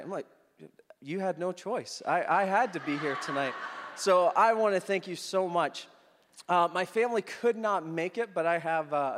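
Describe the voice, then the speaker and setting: A man in his thirties who grew up in the Philippines, a guest preacher telling his story in an upbeat, joking way to the congregation. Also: fairly high pitch. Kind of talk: sermon with personal story. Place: church hall. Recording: long church hall reverb.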